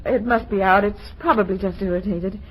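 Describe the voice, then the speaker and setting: An actress playing a proud, defensive young bride-to-be in an old-time radio drama. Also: female voice, mid-range voice, brisk, close to an everyday voice. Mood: anxious, pleading. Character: proud young bride-to-be, stubborn daughter, softly torn. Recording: noisy old radio recording.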